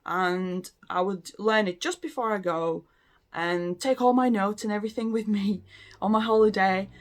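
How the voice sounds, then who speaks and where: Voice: mid-range voice, normal speed. Late thirties, casual talk to camera, hesitant, frequent fillers, restarts sentences. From Latvia. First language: Latvian. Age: late 30s. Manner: hesitant, many ums and restarts. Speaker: language learning vlogger.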